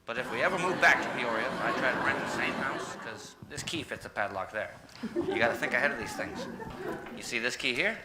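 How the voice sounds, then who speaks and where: A man's voice: medium pitch, expressive, fairly quick, close to an everyday voice. Man playing blustering radio husband, noisy recording. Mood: self-satisfied. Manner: blustering, defensive. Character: stubborn, self-important, bumbling husband of old radio comedy. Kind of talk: old-time radio comedy acting.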